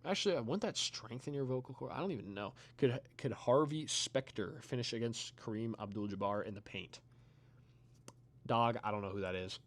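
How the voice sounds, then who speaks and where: A young man chatting casually with his chat while playing a video game. His voice is getting tired, and he rambles, trails off into quiet mumbles, then comes back in short louder bursts. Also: mid-range voice, normal speed. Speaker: streamer reading chat.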